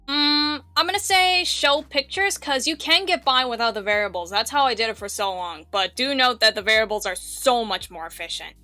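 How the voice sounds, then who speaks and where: A woman's voice: on the high side, moderate pace, hardly any pauses, sharp tone, dry recording. A woman chatting casually as co-presenter of a tutorial, explaining things in an encouraging way. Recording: music playing behind.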